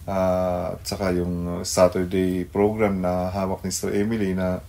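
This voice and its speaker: A male Filipino pastor hosting a devotional radio program, speaking haltingly and searching for words. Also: low voice, completely flat delivery, vocal fry, dark tone. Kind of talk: devotional talk mixing Tagalog and English.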